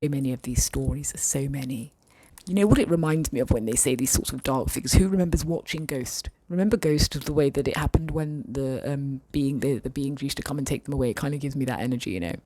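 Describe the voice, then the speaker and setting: A woman vlogger chatting casually and thoughtfully with her viewers, often pausing and restarting her sentences. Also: a bass voice, warm tone. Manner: thoughtful, hesitant, many fillers and restarts. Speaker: vlogger reading viewer comments. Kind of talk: casual chat.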